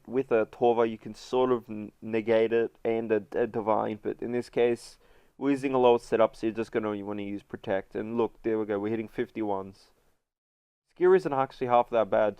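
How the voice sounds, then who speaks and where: A man's voice: fairly low pitch, darkish tone, clean tone, no echo. Congested man giving a game walkthrough. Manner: chatty, many fillers. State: congested, runny nose. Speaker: gaming video host. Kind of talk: casual game guide voice-over.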